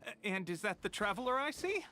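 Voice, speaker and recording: An actor playing an eager, deferential technician in an anime game, reporting formally and obligingly. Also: male voice, sits high, big pitch swings, brisk, bright, forceful tone, soft background music, full character voice.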